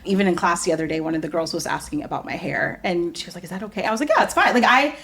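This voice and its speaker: Woman, from the US, podcast guest, casual chat, animated storyteller, lower voice. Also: racing. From United States. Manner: animated storyteller, laughs often, acts out quotes. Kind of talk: casual conversation.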